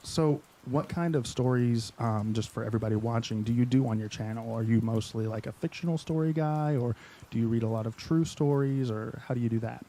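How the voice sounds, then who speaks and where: Mellow male host, upbeat and encouraging with guests. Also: mid-range voice, brisk. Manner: friendly, enthusiastic, reads chat questions. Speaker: live stream host. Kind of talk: casual interview chat.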